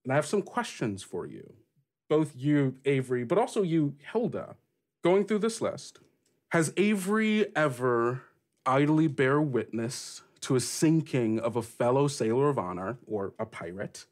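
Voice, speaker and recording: Man running a tabletop game, deliberate and halting. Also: mid-range voice, expressive. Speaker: game master. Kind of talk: narrating and questioning in a tabletop role-playing game.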